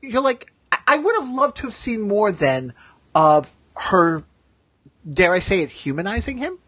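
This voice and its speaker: A man with a fairly high voice, recorded close and dry, hosts a casual discussion. He gives his opinions in a halting way, often doubling back mid-thought, with a husky edge to his tone. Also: very animated, a bit bright, dry recording. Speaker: podcast host.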